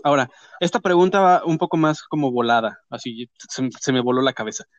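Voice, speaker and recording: A man with a Mexican accent hosting a casual chat and interviewing guests, slangy and talkative with quick asides. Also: mid-range voice, expressive, no room sound, lo-fi sound. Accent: Mexican Spanish. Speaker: host.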